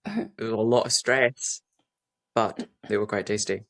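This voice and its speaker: A young man from the west coast of Scotland with a light Scottish accent, chatting as a relaxed, modest podcast guest over a video call. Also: fairly low pitch, measured pace, vocal fry. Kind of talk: casual chat.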